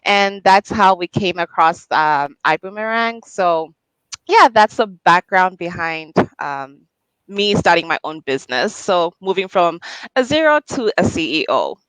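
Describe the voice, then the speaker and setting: A woman from Zambia with a Zambian accent, a podcast guest talking about her business in a lively way, often laughing. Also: on the low side, unhurried, clear, resonant tone, no room sound, low-quality recording. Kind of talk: interview chat.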